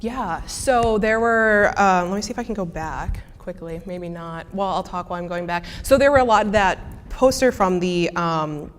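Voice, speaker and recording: A woman's voice: fairly low pitch, normal speed, hardly any pauses. Young Midwestern woman in a hall. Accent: Midwestern American. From American Midwest. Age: young adult. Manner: casual, many fillers and restarts. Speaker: student presenter. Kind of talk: answering audience questions after a talk. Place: lecture hall. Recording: reverberant lecture hall.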